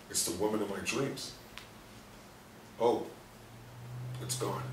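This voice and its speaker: An actor playing an angry, frustrated hospital patient in a stage play reading, anguished, demanding and given to swearing. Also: male voice, sits low. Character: angry, frustrated male hospital patient trapped after a coma. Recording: roomy distant recording with faint noise.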